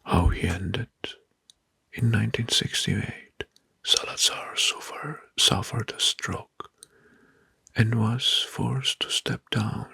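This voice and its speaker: Breathy, whispering man, very husky. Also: on the low side, pushed and bright, no room sound. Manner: slow, deliberate, broken into short phrases. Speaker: ASMR video host. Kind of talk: whispered ASMR history narration. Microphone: close microphone.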